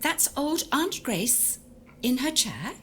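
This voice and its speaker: A woman playing a haughty, brisk English widow, bossy and formal, in an audio drama. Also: high voice, sing-song, fairly bright tone, a little hiss or hum, heavily acted.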